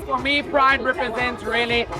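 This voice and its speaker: Upbeat man from South Africa in a loud crowd. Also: very high voice, fairly even intonation, moderate pace. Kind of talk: street vox pop. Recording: loud music and crowd behind.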